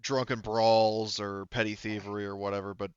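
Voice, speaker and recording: A man narrating and refereeing a tabletop roleplaying game as game master, in a halting, rambling way full of asides. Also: on the low side, little pitch movement, clear, resonant tone, no echo, lo-fi sound.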